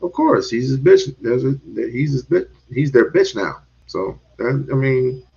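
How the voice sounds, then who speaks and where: Animated, swearing male talk-show host. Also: medium pitch, measured pace, vocal fry, husky, muffled recording. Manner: animated, profane, laughs often. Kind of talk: casual online talk show chat.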